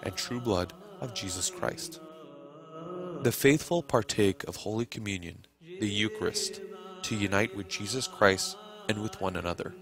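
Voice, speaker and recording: A man reading a scripted documentary narration in a calm, deliberate way. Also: lowish voice, crowd noise. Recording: music clearly under the voice.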